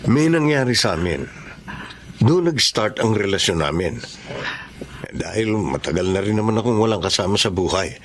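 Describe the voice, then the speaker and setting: A man's voice: fairly low pitch, very animated, moderate pace, pauses often. A man acting an earnest, middle-aged single father in a Filipino radio-style drama. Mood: earnest, friendly. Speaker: voice actor.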